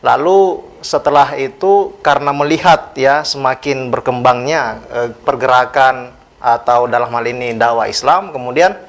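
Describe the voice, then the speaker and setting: Sex: man. Origin: South Sulawesi. Role: interview guest. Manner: polite, measured, pauses to search for words. Pitch: middle. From South Sulawesi, Indonesia. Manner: polite and measured, many hesitant fillers. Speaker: guest, youth organisation official. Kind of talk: interview talk.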